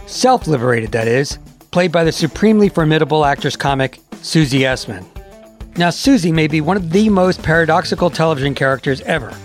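Animated narrator, playful scripted read, faint music. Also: mid-range voice. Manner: playful, emphatic delivery. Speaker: documentary narrator.

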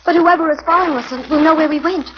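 A woman's voice: sits high, steady tone, fast, close to an everyday voice. Actress as an eager young undercover woman. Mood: anxious. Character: young woman undercover agent posing as a buyer. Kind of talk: 1940s radio acting. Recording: dull noisy 1940s radio transcription.

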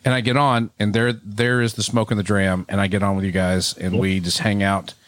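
A man's voice: lowish voice, little pitch movement, fairly quick, fairly bright tone, no echo. A man hosting a casual podcast, joking and teasing with his co-host. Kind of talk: casual chat.

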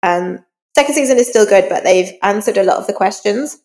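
A woman's voice: medium pitch, fairly quick, darkish tone. Woman in a very reverberant space, conversational. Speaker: crime novelist, podcast guest. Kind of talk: conversational interview answers. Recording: reverberant hall-like echo.

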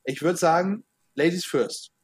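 Husky-voiced chatty male podcast host. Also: a little high, measured pace. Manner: chatty, many fillers, talks of his age. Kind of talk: casual chat.

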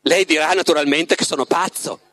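Male reader as tormented romantic writer in a clinic, confiding and dramatic, higher-set voice, dry recording. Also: brisk, a bit bright, no echo. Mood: wistful, intense. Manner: confiding, dramatic, addresses the listener with rhetorical questions. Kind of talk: dramatic literary reading.

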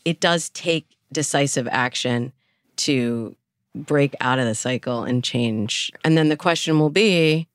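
A woman's voice: rumbling low, measured pace, no room sound, studio quality. A woman speaking as a guest dating coach in a podcast interview, explaining advice and acting out imagined inner thoughts as examples.

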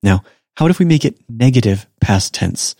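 A man's voice: mid-range voice, expressive, moderate pace, creaky, dark tone, no room sound, clean studio sound. A man hosting a podcast lesson, explaining step by step and repeating each example in a casual, self-joking way. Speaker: Japanese language teacher and podcast host.

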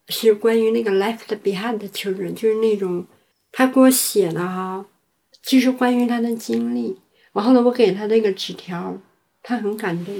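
A woman from China with a medium-pitched voice answers interview questions as an artist. She talks off the cuff, rephrasing herself and dropping in English words. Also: darkish tone. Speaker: performance artist being interviewed.

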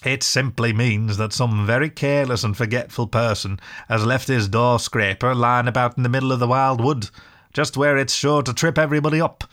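A man's voice: mid-range voice, steady tone, fairly quick, fairly bright tone, natural voice. A man voicing a fussy, stubborn small male mole in a storybook reading, speaking in a plaintive, grumbling way. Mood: pettish.

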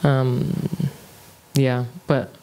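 A woman in her thirties from Kuwait, a music producer talking as a guest in a casual interview. She speaks in a relaxed way, thinking aloud with frequent fillers. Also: rumbling low, drawn-out words. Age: 30s.

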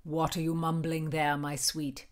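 A woman voicing an ardent, sweet-spoken Tudor gentleman in a storybook reading. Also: sits low, flat delivery, a little slow, pitched below the performer's own voice.

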